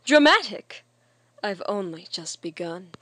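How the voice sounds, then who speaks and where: A woman's voice: medium pitch, sing-song, unhurried, a bit bright, no echo, low-quality recording. A woman voicing a vain, theatrical young man in an amateur comic dub, declaiming and overdramatic. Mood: dramatic despair. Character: flamboyant theatrical young man, vain melodramatic drama queen.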